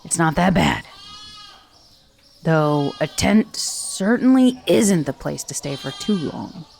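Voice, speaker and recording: Woman playing a lowish, slow-talking titan warrior woman, boastful, teasing, ASMR roleplay. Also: animated, creaky, darkish tone, full character voice. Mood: calming. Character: boastful, brawny female titan warrior head.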